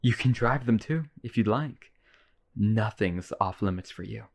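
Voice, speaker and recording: A man's voice: fairly low pitch, unhurried, vocal fry. A man playing a lonely, obsessive, wealthy vampire brother in a boyfriend ASMR roleplay, coaxing and polite. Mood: eager, coaxing.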